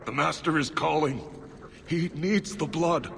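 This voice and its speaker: Gravelly, crazed dwarf cultist. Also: male voice, fairly high pitch, steady tone, measured pace, big-room sound, a little hiss or hum. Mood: entranced, desperate. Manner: fervent, ranting. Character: crazed fanatical dwarf crime-cartel cultist. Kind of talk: dramatic video game acting.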